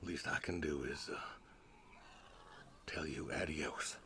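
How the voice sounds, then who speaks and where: Very deep-voiced, weary stoic farmer. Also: male voice, slow pace, bright, forceful tone, a little hiss or hum, full character voice. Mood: sad, resigned. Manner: terse, plainspoken, solemn. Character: weary stoic older pig farmer, quietly resolved. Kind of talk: naturalistic video game acting.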